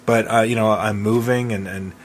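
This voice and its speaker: A man's voice: fairly low pitch, steady tone, moderate pace. A middle-aged man co-hosting a podcast, reminiscing in casual conversation. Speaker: podcast co-host.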